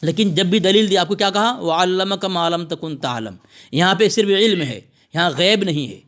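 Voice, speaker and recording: High-pitched combative man, religious debater. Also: moderate pace, fairly bright tone. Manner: combative, abusive, lecturing; repeats phrases emphatically. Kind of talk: polemical religious argument over a phone call.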